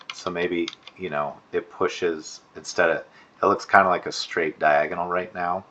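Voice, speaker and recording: A man's voice: low voice, sharp tone, lo-fi sound, a little hiss or hum. A man giving informal spoken feedback as an instructor, thinking aloud with hedges and restarts, recorded indoors at a computer. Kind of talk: informal spoken critique of student work.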